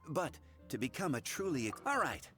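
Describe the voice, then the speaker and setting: Friendly, animated tavern bartender. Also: male voice, fairly high pitch, normal speed, soft background music. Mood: instructive. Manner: chatty, explanatory. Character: friendly male tavern bartender, patient and instructive. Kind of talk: game cutscene voice acting.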